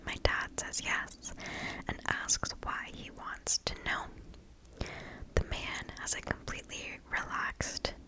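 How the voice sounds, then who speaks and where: Slow, close-whispering woman reading stories. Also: poor audio. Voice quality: breathy whisper. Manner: slow, hushed, deliberate storytelling. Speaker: ASMR host reading stories. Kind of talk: ASMR whispered story reading. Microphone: close microphone.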